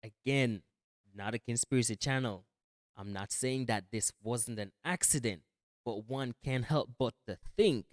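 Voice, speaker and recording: A man's voice: mid-range voice, measured pace, no echo, clean studio sound. A man hosting a casual reaction video, musing aloud in loose slang. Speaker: commentator host.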